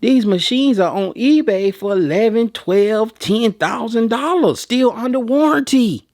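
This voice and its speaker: A man's voice: very high-pitched, lively intonation, moderate pace, a little mellow, no echo, studio quality. An American man giving casual, unscripted opinions as a video host, blunt and colloquial, repeating phrases for emphasis. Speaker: video host and print equipment reviewer.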